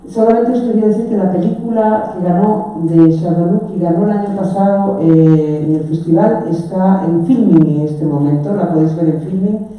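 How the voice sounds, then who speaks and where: A woman with a Spanish accent moderates a festival talk, speaking in halting English with many fillers. Her voice is low and dark. She sounds distant in a very reverberant auditorium. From Spain. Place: large auditorium. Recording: echoey hall, distant mic.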